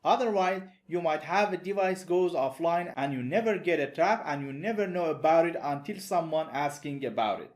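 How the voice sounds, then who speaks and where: A man's voice: a little high, moderate pace, hardly any pauses. A man with a non-native English accent, giving a recorded networking lecture over slides in a methodical, explanatory way. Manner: methodical, plain explanatory delivery. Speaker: networking instructor.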